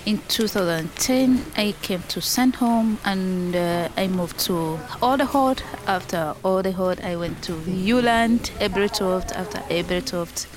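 A woman giving personal testimony in a halting, step-by-step way. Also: medium pitch. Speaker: former asylum camp resident giving testimony. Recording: loud background noise, clicks.

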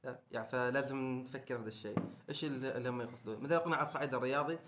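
A man's voice: mid-range voice, very fast, background noise. A man with an Omani Arabic accent talking casually and debating as a podcast co-host. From Oman.

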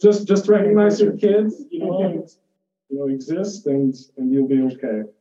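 A man's voice: fairly high pitch, expressive, moderate pace, dark tone. A man from Jordan giving an informal, conversational lecture, explaining ideas through personal anecdotes and often restarting his phrases. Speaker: lecturer in psychiatry. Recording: muffled, echoey room, distant mic.

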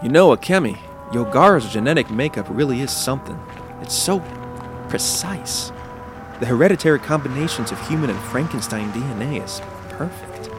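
An actor playing a thoughtful, fascinated scientist in an audio drama, speaking in a measured, portentous way. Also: male voice, mid-range voice, animated, music in the background, natural voice. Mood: fascinated, ominous. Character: thoughtful male monster scientist, grave and fascinated.

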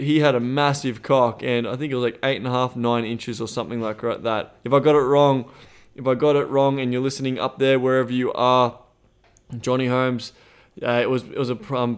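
A man's voice: medium pitch, smooth. An Australian man co-hosting a podcast in casual chat, blunt and swearing freely. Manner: blunt, profane and animated. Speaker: podcast co-host. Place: Thailand.

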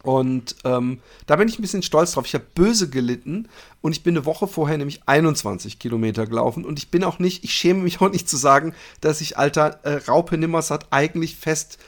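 Male podcast co-host, slightly higher register. Casual banter, cheeky, mock-boastful, unafraid of coarse language. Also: brisk. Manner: teasing, playfully boastful and crude. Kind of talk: casual banter about running.

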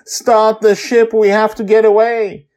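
Man as scared woman of thirty, high-pitched, pleading, urgent, audio drama. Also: flat delivery, unhurried, darkish tone. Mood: desperate pleading. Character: frightened young Indian mother pleading, about thirty.

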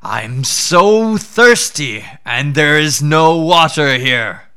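A deceitful tiger begs for water and then turns menacing, voiced by a man telling a storybook tale. His speech is slow and deliberate. The voice stays bright with a lively lilt. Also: a little high, clean tone, exaggerated voice. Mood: pleading. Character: hungry deceitful male tiger.

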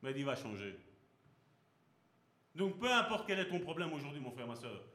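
A man's voice: on the high side, very animated, moderate pace, pushed and bright. A man preaching a sermon as pastor, speaking with passion and throwing rhetorical questions at his congregation. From Belgium. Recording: echoey hall.

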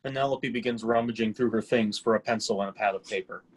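A man's voice: fairly low pitch, steady tone, quick pace, slightly rough, poor audio. A man reading stage directions as scripted narration for a readers theatre play.

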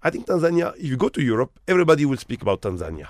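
A man's voice: medium pitch, sing-song, rapid-fire, no room sound, studio quality. A man from Morocco who manages hotels, talking as an interview guest with emphatic rhetorical questions.

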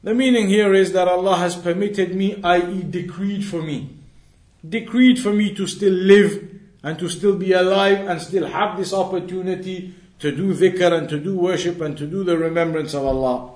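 High-voiced man teaching in an echoey room. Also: fairly even intonation, normal speed, darkish tone. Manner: didactic, rephrases and repeats key phrases for emphasis. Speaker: religious teacher. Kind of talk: religious lesson, reading Arabic text and explaining it in English. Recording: roomy, echoing sound.

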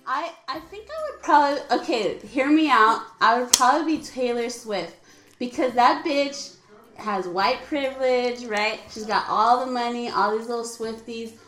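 A woman in her late twenties leads a loose, laughing chat with old friends. Her voice sits fairly high and she talks freely, with plenty of swearing. The room around her is echoey. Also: moderate pace, fairly bright tone. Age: 20s. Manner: chatty, swearing, laughing often. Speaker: podcast host. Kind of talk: casual chat among friends.

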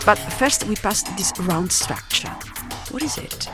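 A woman's voice: medium pitch, expressive, unhurried. An Italian woman with an Italian accent, narrating in a reflective, poetic, scripted style. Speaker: narrator of an audio drama. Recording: music behind the voice. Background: music.

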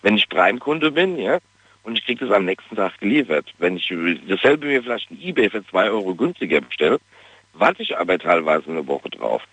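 A man's voice: fairly low pitch, big pitch swings, brisk, a bit bright, slightly rough, dry recording. Dialect-speaking male caller over a dull phone line. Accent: regional German dialect. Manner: enthusiastic, many "äh" fillers and "ja?" tags.

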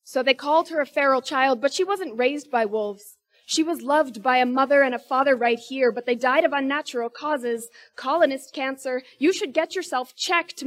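A woman in her twenties performing spoken word poetry with a rhythmic, emphatic delivery. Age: 20s. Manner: rhythmic, emphatic delivery with sharp rises in pitch and force.

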